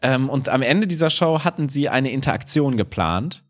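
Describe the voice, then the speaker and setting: A man's voice: medium pitch, animated, moderate pace. A man chatting casually as a podcast co-host, colloquial and opinionated in the way he talks. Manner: colloquial, opinionated, acts out short quotes. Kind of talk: casual podcast chat about children's theatre.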